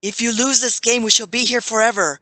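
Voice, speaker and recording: A man's voice: extremely high pitch, steady tone, a little fast, pushed and bright, dry recording. A man playing an anxious, indignant old doctor in an audio drama, stern and urgent. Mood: urgent warning.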